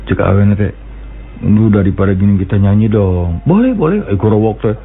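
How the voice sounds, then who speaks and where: A Sundanese man with a Sundanese accent, telling comic folk jokes and breaking into laughter and high character voices. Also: animated, fairly quick, over loud music. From West Java, Indonesia. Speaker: comic storyteller. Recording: tinny phone-like sound with a live crowd.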